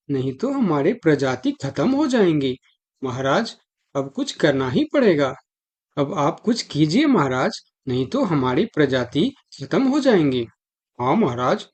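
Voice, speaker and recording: A man's voice: a little high, big pitch swings, measured pace, a little mellow, husky, heavily acted. A man voicing frightened, pleading forest animals begging their king for help in a storybook drama. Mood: pleading, afraid.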